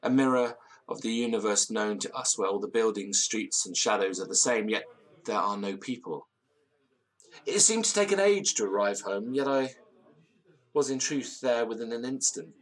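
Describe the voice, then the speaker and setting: An actor playing a pompous, excitable gentleman who speaks in florid, theatrical language in an audio drama. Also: lowish voice, normal speed, natural voice, rough recording. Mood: grandly narrating. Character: pompous, excitable Victorian-style gentleman storyteller. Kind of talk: dramatic first-person storytelling in audio drama.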